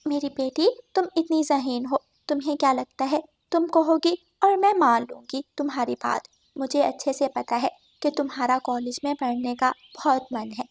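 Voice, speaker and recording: A woman voicing a gentle, devoted sparrow wife and mother with a polite, pleading manner in a cartoon story. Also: high voice, moderate pace, gravelly. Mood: tender.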